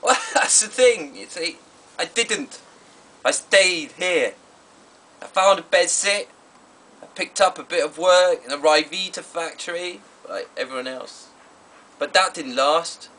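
A wretched, drink-ruined interviewee, acted by a man, heard a little away from the mic. He confesses ruefully in slow, halting phrases that trail off, in a fairly high voice, as if for a mock documentary. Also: bright, forceful tone, distant mic. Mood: regretful.

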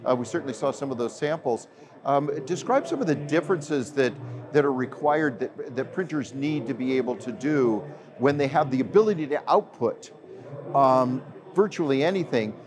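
A man's voice: mid-range voice, lively intonation, moderate pace. An older man interviewing a guest at a trade fair, asking long, meandering questions. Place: busy trade fair hall, indoors. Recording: crowd noise of a trade fair. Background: crowd chatter.

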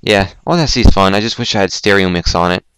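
A young man hosting a casual online show, rambling and self-deprecating, with lots of stumbling restarts and a throat that is going hoarse. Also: low-pitched, brisk, fairly bright tone, no room sound, poor audio. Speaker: podcast host. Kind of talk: casual chat on a Skype call.

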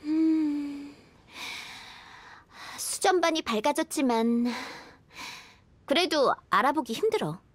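A woman's voice: sits high, takes it slowly, pauses often, no echo. A woman playing an earnest, composed, articulate young woman astrologer in a video game. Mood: puzzled.

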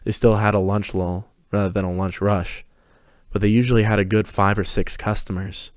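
A man's voice: fairly low pitch, level tone, moderate pace, no room sound, studio quality. A man narrating a scripted story as a dramatic reading.

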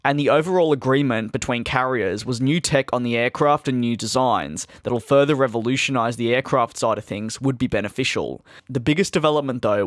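Steady male aviation news narrator. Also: mid-range voice, no echo, clean studio sound. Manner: steady, measured news delivery. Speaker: aviation channel host. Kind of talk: scripted video narration. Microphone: close studio voice-over.

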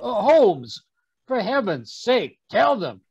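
A man playing a flustered, indignant older gentleman doctor in a radio mystery, husky, gravelly and stammering. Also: high voice, very animated, slow pace, full character voice. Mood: flustered, protesting. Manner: stammering, blustering. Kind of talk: old-time radio mystery acting. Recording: dull video-call audio.

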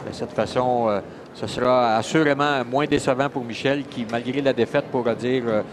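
A man's voice: medium pitch, steady tone, moderate pace. A man giving live sports commentary, hesitant and full of fillers and restarts. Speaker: color commentator. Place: shopping mall bowling event. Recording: loud crowd and noise behind.

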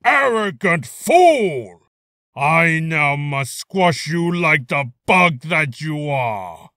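A man's voice: a little high, big pitch swings, drawn-out words, bright, forceful tone, dry recording, clean studio sound, voice pushed up, full character voice. A man voicing a vast, arrogant cosmic devourer, ponderous and haughty, as in a video game. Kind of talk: video game character voice reel.

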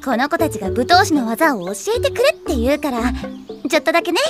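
Teenage martial artist girl, tomboyish, spirited, casual anime delivery, lively intonation. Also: high voice, over music. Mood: showing off. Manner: brisk, casual, confident. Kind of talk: anime audio drama acting.

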